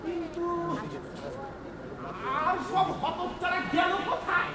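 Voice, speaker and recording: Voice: extremely high pitch, flat delivery, slow pace, distant mic, exaggerated voice. An actor playing an exasperated, scolding director of a bumbling amateur troupe in a comic stage play, ranting with sarcasm. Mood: resigned grumbling. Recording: echoey hall, loud music and audience noise.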